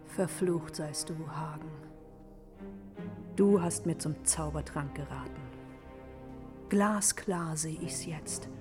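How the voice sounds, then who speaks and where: Anguished, accusing wife, fantasy audio drama; measured pace, clear music bed. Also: female voice, low voice. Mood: bitter, accusing. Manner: theatrical, emotionally raw. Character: Gudrune, anguished, accusing young wife turned widow. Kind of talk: fantasy radio drama acting. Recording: long reverb with music behind.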